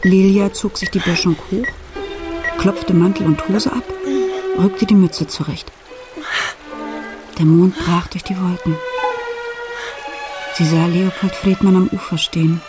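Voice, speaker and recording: An actress playing a grown daughter who retells her family's past in measured, matter-of-fact radio play narration. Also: lowish voice, music in the background, close to an everyday voice. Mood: measured, reflective.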